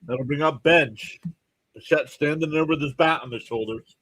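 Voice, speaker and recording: A man with a somewhat high-pitched voice hosts a live baseball reaction stream. He gives short, clipped play calls in an informal way. Between them he drops into casual fan asides and talks to his viewers. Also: normal speed, a bit bright, low-quality recording. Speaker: livestream play-by-play host and fan.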